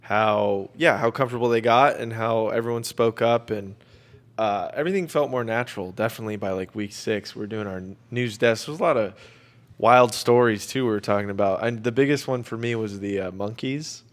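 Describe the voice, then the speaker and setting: A man's voice: fairly low pitch, fairly quick. A man in his twenties hosting a student radio show, chatting casually in a joking, teasing way. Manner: jokey, teasing. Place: community radio studio.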